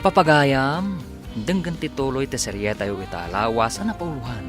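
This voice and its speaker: A man's voice: medium pitch, lively intonation, moderate pace, over music. A man announcing and narrating a radio drama serial in a dramatic, scripted style. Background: musical instruments.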